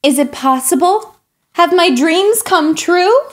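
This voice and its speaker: Woman acting a high, melodramatic, pleading pigeon. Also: animated, slow, exaggerated voice. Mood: hopeful excitement. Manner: dramatic, whiny, exclamatory. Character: excitable, pleading, melodramatic young pigeon wanting its way. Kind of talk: children's picture book read-aloud.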